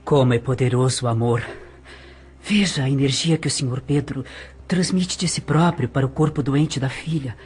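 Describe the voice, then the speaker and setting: An actor playing a compassionate, reverent spirit healer in an audio drama, gentle and slightly hesitant. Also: male voice, medium pitch, normal speed, close to an everyday voice. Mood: awed. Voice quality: husky, breathy.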